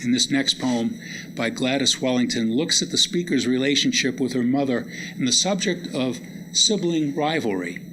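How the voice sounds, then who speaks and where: Middle-aged guy doing a poetry talk, mid-pitched voice, pretty noisy room recording. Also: lively intonation, moderate pace, bright, forceful tone. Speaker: college lecturer and poet presenting readings. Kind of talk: public talk with recited poems. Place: live lecture event before listeners. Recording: noisy live hall recording.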